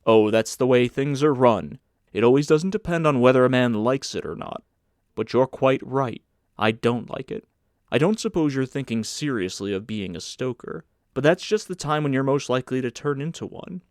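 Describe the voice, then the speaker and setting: A man's voice: medium pitch, animated, moderate pace, no echo, studio quality, lightly acted. A man voicing a proud, blunt German ship's stoker with an argumentative, vigorous manner in an audiobook. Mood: matter-of-fact, proud. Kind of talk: audiobook character acting.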